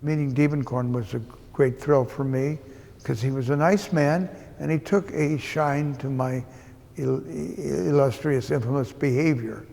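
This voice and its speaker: A man in his eighties gives an informal gallery tour in a halting, deliberate way with a dry, joking manner. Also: medium pitch, creaky, mellow. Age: 80s. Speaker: art gallery owner giving an exhibition tour. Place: indoors in an art gallery. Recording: long echo of a hard-walled gallery.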